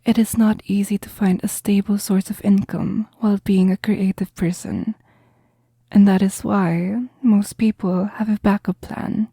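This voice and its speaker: A woman playing a caring, understanding math professor in a roleplay audio, speaking softly and gently with a breathy, reassuring delivery. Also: medium pitch, fairly even intonation, a little slow, no echo. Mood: hushed sympathy. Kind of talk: soft-spoken roleplay audio, whispery.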